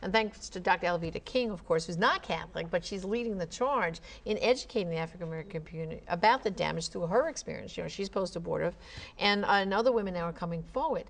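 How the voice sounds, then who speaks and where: Animated low-voiced woman co-host. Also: fast, creaky. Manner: animated storytelling, frequent "you know". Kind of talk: talk show discussion.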